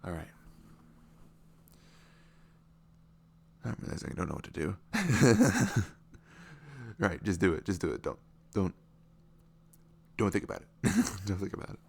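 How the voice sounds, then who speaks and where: A man's voice: deep voice, expressive, moderate pace, vocal fry, long pauses, heavily acted. A man playing an adorable, lovestruck young boyfriend in an ASMR romance roleplay, giggly and gushing as he stumbles over words. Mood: earnest, nervous.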